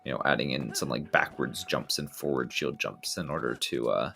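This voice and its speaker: Male speedrun commentator, very low gravelly voice, laid-back explainer. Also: normal speed. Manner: relaxed, explanatory, frequent ums and restarts. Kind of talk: live game commentary.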